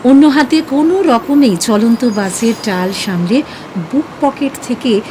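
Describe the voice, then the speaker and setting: Female story narrator, slightly high voice, emotive dramatic delivery, faint vehicle noise underneath. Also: unhurried, dark tone, rough recording.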